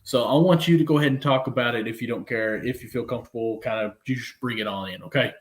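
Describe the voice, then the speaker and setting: A man's voice: medium pitch, fast talker. A man hosting a casual sports podcast, joking and steering the conversation along. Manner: joking, keeps the show moving. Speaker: podcast host.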